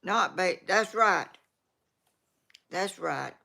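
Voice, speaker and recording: A woman's voice: lowish voice, animated, very slow, vocal fry, pushed and bright. A woman in her eighties with a Georgia Southern accent, chatting in a rambling way, trailing off and restarting as she reads comments to her viewers. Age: 80s. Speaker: vlogger reading viewer comments. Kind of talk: casual rambling chat.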